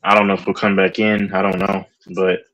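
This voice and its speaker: A man on a livestream debate, talking in an animated, emphatic way with many restarts. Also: low-pitched, fairly quick, lo-fi sound, a little hiss or hum. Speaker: streamer.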